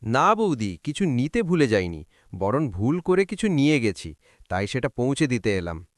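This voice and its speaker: A man voicing a courteous, apologetic middle-aged gentleman in a cartoon. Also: medium pitch, sing-song, normal speed, no echo, clean studio sound, close to an everyday voice. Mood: polite.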